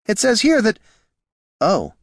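A man voicing a fretful, bookish young priest with a flustered, halting manner in a storybook. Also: sits high, huge pitch swings, gravelly, no echo, clean studio sound, voice pushed up, heavily acted. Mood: nervous dismay. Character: fretful, bookish male young priest leafing through handbook.

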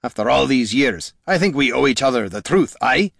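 Gruff, blunt dwarf adventurer. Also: male voice, mid-range voice, expressive, moderate pace, fairly bright tone, no echo, clean studio sound, full character voice. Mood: stern, shrewd. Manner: blunt, rustic dialect with "ye" and "me". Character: gruff, scarred male dwarf adventurer, shrewd and menacing.

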